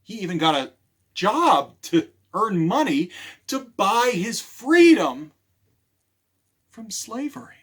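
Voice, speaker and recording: Higher-voiced man telling stories at storytime. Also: slow pace, long pauses, husky. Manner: animated, repeats key phrases, addresses listeners directly. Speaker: children's librarian and storyteller. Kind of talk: storytime talk and storytelling for children.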